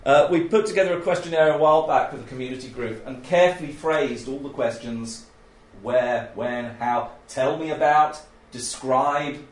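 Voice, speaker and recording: A loud-voiced man teaching a workshop on interviewing. He chats casually, laughs often, and acts out the questions he quotes. His voice sits at a middle pitch, and the room around him echoes. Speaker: oral history trainer. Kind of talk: informal workshop talk.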